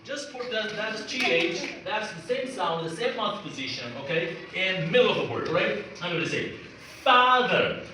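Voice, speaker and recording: Male pronunciation teacher, lively and high-pitched, recorded far from the mic with a noisy class around him. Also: moderate pace, heavy reverb, a crowd in the background. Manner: animated, encouraging, drilling sounds by repetition. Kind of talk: interactive classroom lesson. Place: indoor classroom. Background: class of students, room noise.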